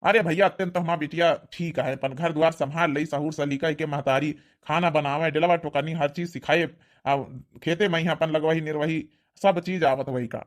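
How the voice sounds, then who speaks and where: A man's voice: on the high side, fairly even intonation, normal speed, natural voice. A man voicing an indulgent, mild village father in a cartoon comedy, with an Awadhi accent and a coaxing, homely manner. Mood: eager, agreeable. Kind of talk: cartoon comedy dialect acting.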